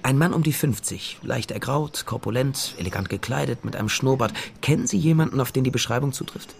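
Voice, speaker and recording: A man acts out a dogged private eye in a radio crime drama. His voice is middle-pitched with a gravelly fry. He speaks dryly and with irony, over clearly audible background noise. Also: a little fast, natural voice. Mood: probing. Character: Nestor, wry, dogged male private detective. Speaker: detective narrator and lead character.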